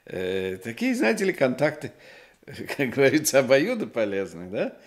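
An oily, animated little shoemaker. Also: male voice, mid-range voice, normal speed. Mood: ingratiating, coy. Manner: unctuous, hesitant, punctuated with little chuckles. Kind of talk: Soviet radio play acting.

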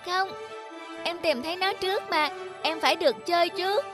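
A sly young girl in a children's cartoon. She sounds high, insistent and bossy, with music playing clearly behind her. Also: moderate pace. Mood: insistent, scheming. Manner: insistent, cunning.

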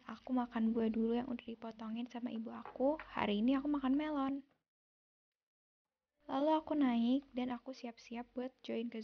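A young woman from Indonesia narrating her daily vlog as a calm, unhurried voice-over, recorded separately in a quiet room. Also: on the high side, lo-fi sound. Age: young adult. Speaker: vlogger. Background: soft background music.

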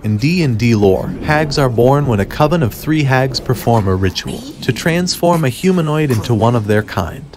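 Male guide narrator: mid-pitched, measured, instructive walkthrough read over game ambience. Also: lively intonation, background noise. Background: video game ambience.

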